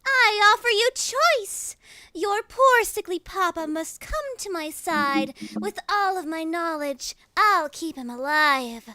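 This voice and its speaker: A woman voicing a mysterious, sinister creature of the thicket that coaxes in a soft, sweet manner. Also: very high voice, lively intonation, no echo, voice pushed up, full character voice. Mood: sweetly coaxing. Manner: soft, sweet, coaxing, slow delivery.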